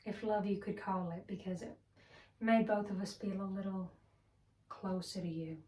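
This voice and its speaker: A woman playing a wounded, bitter wife in a dramatic stage monologue, speaking in halting, broken phrases. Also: mid-range voice, steady tone, mellow, lightly acted. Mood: halting confession. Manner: halting, broken phrases with heavy pauses, at times whispered. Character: wounded, bitter Southern wife confessing to her husband. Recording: roomy echo, distant microphone.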